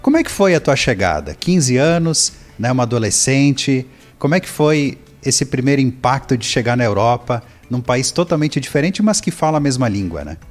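A man co-hosting an interview show, posing long, drawn-out questions to the guest. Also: medium pitch, big pitch swings, soft background music. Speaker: podcast co-host. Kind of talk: interview questions and sponsor reads.

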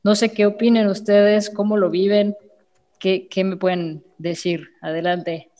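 Measured woman host, reverberant room. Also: medium pitch, little pitch movement, dark tone. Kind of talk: casual panel chat.

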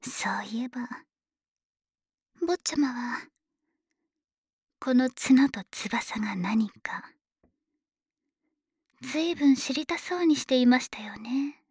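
A voice actress playing a possessive, politely formal female dragon maid in an ASMR audio drama. She talks in a soft, breathy, often whispered way. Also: sits high, slow pace, pauses often, no room sound, studio quality, exaggerated voice. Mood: teasing.

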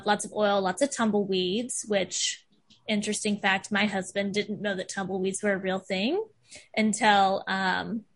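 A woman hosting a podcast in casual chat, gushing and chatty, with plenty of "like" and "um" and quick laughs. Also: medium pitch. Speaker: podcast host.

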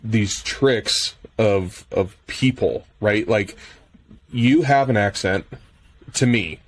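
A man's voice: lowish voice, slow, breathy edge. A man with an American Midwestern accent hosting a podcast interview, asking questions hesitantly and repeating words. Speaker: podcast host.

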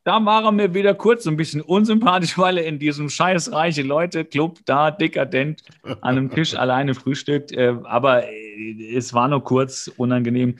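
A man's voice: on the high side, steady tone, normal speed. A man co-hosting a podcast, retelling a TV episode in casual chat with playful, mock-serious asides. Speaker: podcast co-host.

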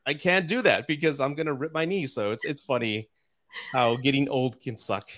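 A man's voice: mid-range voice, moderate pace, fairly bright tone. A man in his forties hosting a live podcast interview, chatty and easygoing, laughing often and repeating himself as he talks. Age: 40s. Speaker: podcast host. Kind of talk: casual live-stream interview.

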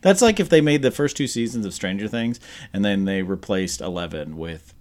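A man of about thirty with a lowish voice talks as a podcast co-host. He rambles casually, like he is telling stories to a friend, and swears freely as he goes. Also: lively intonation, normal speed, darkish tone. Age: around 30. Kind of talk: casual chat between friends.

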